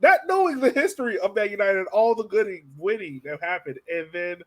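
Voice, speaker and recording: Higher-pitched man hosting casually. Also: animated, brisk, fairly bright tone. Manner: informal, slangy. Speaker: podcast host. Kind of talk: casual sports podcast chat.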